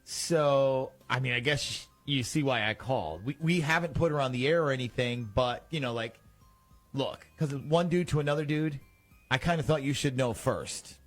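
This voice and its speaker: A man's voice: mid-range voice, moderate pace. Radio guy doing a prank call, casual and smug, music behind. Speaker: radio show host.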